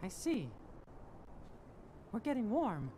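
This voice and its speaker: Very high, earnest cartoon mouse king. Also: huge pitch swings, slow pace, dark tone, slight background noise, full character voice. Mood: concerned, curious. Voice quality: high falsetto. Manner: earnest, urgent. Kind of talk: video game cutscene acting.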